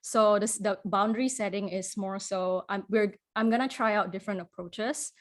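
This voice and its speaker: A woman from Singapore, a podcast guest, talking in a thoughtful, halting way with many restarts and frequent laughs. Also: mid-range voice, fairly even intonation. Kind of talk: casual conversational interview.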